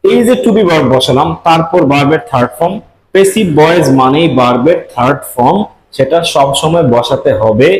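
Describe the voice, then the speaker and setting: A man's voice: fairly high pitch, a little hiss or hum, low-quality recording. A man teaching students in an explanatory, step-by-step manner, repeating key points as he goes. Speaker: English grammar teacher. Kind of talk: explanatory lesson addressed to students.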